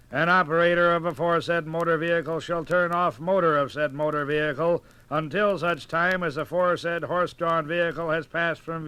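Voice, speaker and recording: An actor playing a stiff official who formally recites regulations in 1950s radio style. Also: male voice, on the high side, pushed and bright, clean tone, no echo.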